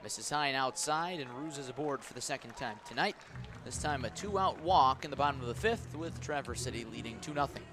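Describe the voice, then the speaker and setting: A man doing live radio play-by-play of a baseball game, describing the action and stressing the key moments. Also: fairly quick. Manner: descriptive, lifts and stresses as each pitch comes. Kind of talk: live radio sports commentary. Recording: clear outdoor ambient noise behind voice. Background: outdoor ballpark ambience.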